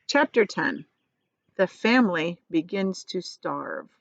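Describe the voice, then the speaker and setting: A woman's voice: lowish voice, expressive, takes it slowly, creaky, no room sound. Woman reading a storybook, slightly muffled. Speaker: teacher reading aloud. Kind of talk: expressive children's storybook narration.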